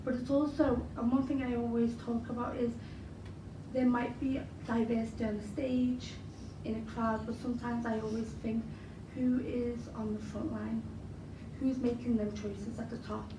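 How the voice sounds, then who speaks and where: A woman's voice: on the high side, flat delivery, normal speed. A young woman with a Scouse accent, a poet answering interview questions in a chatty way with many fillers, whose first language was Arabic. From Liverpool, England. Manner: chatty, frequent fillers and you-knows. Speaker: interviewee, spoken word poet. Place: large event hall. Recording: roomy hall recorded from a distance with noise.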